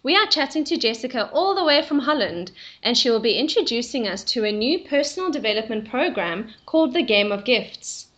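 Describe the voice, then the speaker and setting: High, lively woman hosting an interview. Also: a little fast, hardly any pauses. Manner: enthusiastic with short affirmations. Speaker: interview host.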